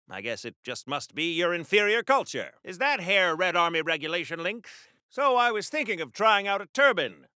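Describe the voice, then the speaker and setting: An actor playing a pompous, earnest, patriotic war-veteran superhero from the 1940s, with theatrical, old-fashioned diction in the style of a radio serial. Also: male voice, fairly high pitch, bright, forceful tone, dry recording, clean studio sound. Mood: chatty, condescending.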